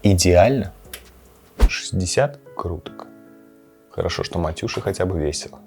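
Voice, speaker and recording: Expressive, lower-voiced man vlogging casually. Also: normal speed, soft background music. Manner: playful, expressive, talks to his cat. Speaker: host of a gaming and cooking channel. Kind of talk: casual chat to camera with gameplay reactions.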